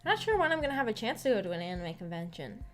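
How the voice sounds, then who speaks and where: Young female streamer, slightly high voice, informal rambling delivery, music playing underneath. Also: very animated, very fast. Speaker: streamer hosting a visual novel book club. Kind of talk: casual livestream chat, reading and answering viewer comments. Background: background music.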